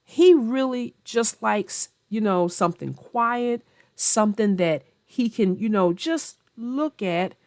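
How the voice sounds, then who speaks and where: A woman narrates and discusses a children's book with a very animated, swooping delivery. She takes her time, explaining things simply for young listeners. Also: a little high, slow, no echo, clean studio sound. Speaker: children's story narrator and host. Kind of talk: picture-book read-aloud and book chat.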